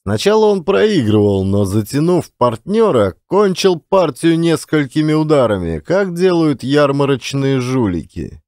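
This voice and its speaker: Expressive male audiobook narrator, very animated pitch. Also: measured pace, hardly any pauses, no room sound, clean studio sound. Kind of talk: expressive literary storytelling.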